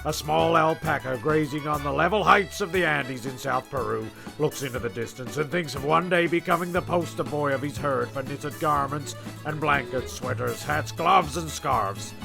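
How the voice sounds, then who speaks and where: Comic radio-play narrator, male actor: gravelly, testy, rambling, stumbles and restarts, music behind. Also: a little high, moderate pace. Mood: rambling storytelling.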